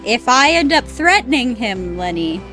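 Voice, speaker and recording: A woman's voice: on the high side, sing-song, normal speed, sharp tone, soft background music. A woman streaming a game live, making casual, wry, joking asides. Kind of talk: casual live gaming commentary.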